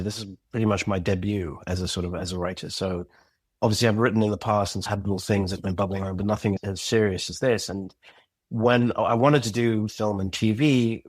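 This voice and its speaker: A man with a somewhat low voice answers interview questions in a reflective, unhurried way, often restarting a thought as he works it out aloud. The sound is dry and close. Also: no echo. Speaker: podcast guest, audio drama creator. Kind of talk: casual conversational interview.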